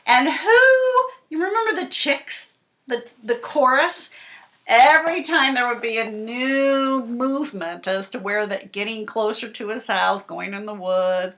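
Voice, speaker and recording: A woman's voice: on the high side, a bit bright. A woman librarian talking expressively to children about a picture book, putting questions to them and responding as if they answered. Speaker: school librarian reading to children. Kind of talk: animated picture-book read-aloud and discussion for children.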